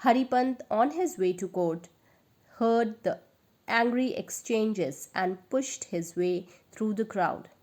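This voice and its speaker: A woman's voice: on the high side, animated, slow pace, darkish tone. A woman storyteller narrating a children's bedtime story expressively.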